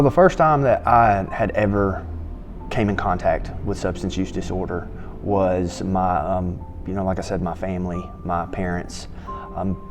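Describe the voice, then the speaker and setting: Deep-voiced man, earnest. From Haralson County, Georgia. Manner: earnest, with fillers and restarts. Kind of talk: personal recovery testimonial. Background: soft music.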